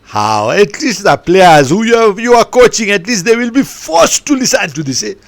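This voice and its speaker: A man putting on a scolding, loud podcast moderator. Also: high-pitched, big pitch swings, normal speed, gravelly, no room sound, pitched above the performer's own voice, heavily acted. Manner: loud and scolding, ends lines with "eh?". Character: stern, teasing male podcast moderator.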